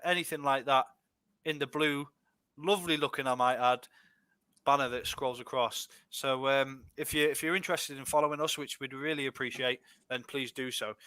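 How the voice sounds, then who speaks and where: A young Scottish man with a bright, forceful voice hosts a casual podcast about streaming. He reads out viewer comments, laughs easily and often restarts his sentences. The sound is dry and close, with little room in it. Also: a little high, clean tone, dry recording. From Scotland. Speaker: podcast host. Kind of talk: casual chat, reading comments.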